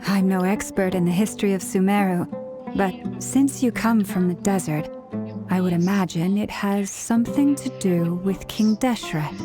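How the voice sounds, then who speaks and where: A woman playing a gentle, polite young traveller in a video game, calm, considerate and articulate. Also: mid-range voice, normal speed, natural voice. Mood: thoughtful, inquisitive. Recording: music playing under the voice.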